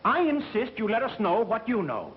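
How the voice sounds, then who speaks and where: An actor with an American accent playing an excitable, bossy radio network chief in a 1930s film, blustering and imperious. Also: male voice, sits high, moderate pace. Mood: agitated. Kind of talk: 1930s comic film acting. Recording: echoey, muffled, hissy 1930s film soundtrack.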